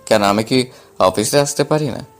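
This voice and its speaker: A man's voice: medium pitch, normal speed, faint music behind, close to an everyday voice. A man playing an assertive, caring younger brother in an audio story drama. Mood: insistent, reassuring.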